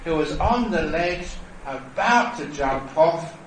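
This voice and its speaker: Slow, lively monk in an echoey hall. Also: male voice, fairly high pitch, recorded from a distance, slight background noise. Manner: storytelling, playful and warmly persuasive. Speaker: Buddhist monk teacher. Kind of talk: dharma talk at a retreat. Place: temple hall.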